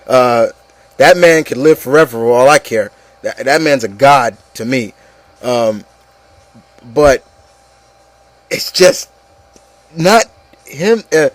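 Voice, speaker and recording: A man's voice: mid-range voice, no room sound. A man hosting an opinion show, chatting informally about movies and addressing the listeners in a casual, opinionated way.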